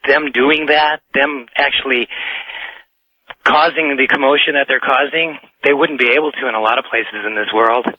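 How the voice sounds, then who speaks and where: A man with a mid-pitched voice phones in to a talk radio show. He is casual and hesitant, rambling through his points. The line is dry and dull. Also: fairly even intonation, moderate pace, dry recording. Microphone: telephone.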